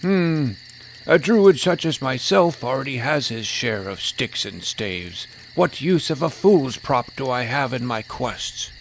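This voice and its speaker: A man's voice: mid-range voice, expressive, unhurried, a little hiss or hum. A man playing a naive, indignant role-player posing as a druid in a fantasy audio drama, mixing mock-archaic pomposity with plain petulant talk. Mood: pompous, doubtful.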